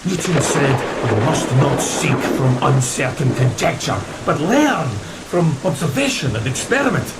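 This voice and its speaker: An actor playing an earnest, formal ship's first mate in a gothic horror audio drama, stammering when agitated. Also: male voice, medium pitch, big pitch swings, a little slow, hardly any pauses, over loud music, low-quality recording. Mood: insistent, reasoning. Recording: loud rain and storm noise behind.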